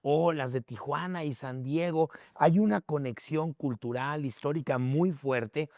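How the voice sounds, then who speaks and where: A man's voice: fairly high pitch, a little slow, darkish tone, no echo, clean studio sound. A man with a Mexican accent explaining things as a podcast guest in a conversational, didactic way, with short tag questions to check in. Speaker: guest expert on history.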